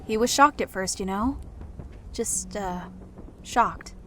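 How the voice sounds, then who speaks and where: A woman's voice: mid-range voice, slow, a little hiss or hum, close to an everyday voice. A woman playing a playful, easygoing pregnant woman in a fantasy audiobook, chatting in a casual, gossipy way. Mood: confiding. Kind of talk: fantasy audiobook dialogue over music.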